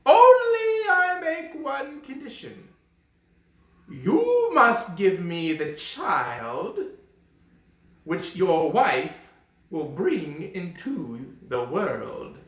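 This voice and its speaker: A man voicing a menacing, imperious old enchantress from a storybook in a theatrical, commanding, drawn-out manner. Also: high voice, wildly swooping pitch, reverberant, pitched above the performer's own voice, heavily acted. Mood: cold bargaining.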